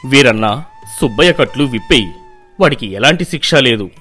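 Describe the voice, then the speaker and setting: A man's voice: medium pitch, animated, fairly quick, sharp tone, soft background music. A man playing a humbled, generous village landlord in a moral story, commanding and earnest.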